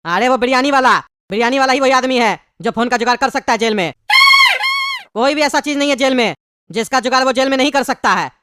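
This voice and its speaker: Cartoon comedy part: grumbling pumpkin convict played in a womanlike voice, always bargaining, Bihari-style Hindi, rapid and raised in pitch, noisy track. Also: lightly acted. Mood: haggling. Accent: eastern Indian (Bihari-style) Hindi. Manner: querulous, complaining, haggling. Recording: clearly noisy background.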